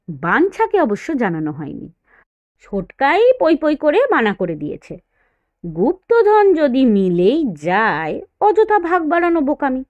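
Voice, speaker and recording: A woman's voice: high voice, a little mellow, no echo, lo-fi sound. A woman narrating an audio story in a dramatized storybook style.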